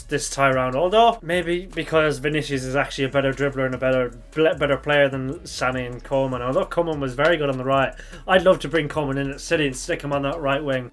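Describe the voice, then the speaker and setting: Slightly croaky young man rambling. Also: a little high, a bit bright. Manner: rambling, thinks aloud, corrects himself. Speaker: football fan channel host. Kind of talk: casual match reaction vlog.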